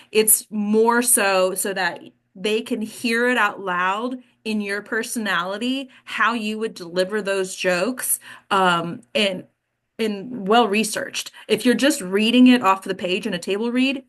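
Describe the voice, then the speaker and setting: A woman's voice: medium pitch, fairly bright tone. A woman hosting and coaching actors in an informal talk, frank and addressing her listeners directly. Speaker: host and acting coach.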